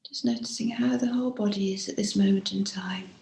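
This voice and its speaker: Gentle, creaky-voiced woman guiding meditation. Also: mid-range voice, steady tone, a little hiss or hum. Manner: gentle, unhurried, with frequent pauses. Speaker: meditation teacher. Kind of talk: guided body scan meditation. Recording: roomy, echoing room sound.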